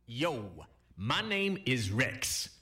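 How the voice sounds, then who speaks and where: A man's voice: mid-range voice, big pitch swings, very slow, bright, forceful tone, full character voice. A man voicing a boastful meat-eating dinosaur introducing himself and his buddies before a children's novelty song. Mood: swaggering, playful. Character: boastful carnivorous dinosaur leading a band of buddies.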